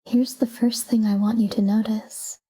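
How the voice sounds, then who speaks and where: A woman leads a sleep talk-down in a very dark, mellow voice. She speaks softly and breathily, close to a whisper, and keeps an even, calm delivery. Her short phrases are unhurried, with space left between them. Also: fairly high pitch, slightly rough, poor audio. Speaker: sleep meditation guide. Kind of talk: soft guided sleep meditation, whispered talk-down.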